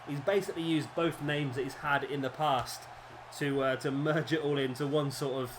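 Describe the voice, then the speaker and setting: A man, his voice a little hoarse, doing casual play-by-play commentary over a wrestling video game, rambling with fillers and correcting himself. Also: fairly high pitch, fairly even intonation, moderate pace, background noise. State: slightly hoarse, losing his voice. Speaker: gameplay commentator.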